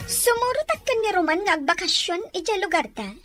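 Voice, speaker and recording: Woman playing a very high-voiced, lovesick young daughter. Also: animated, brisk, a bit bright, soft background music. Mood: eager. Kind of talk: amateur radio-drama acting.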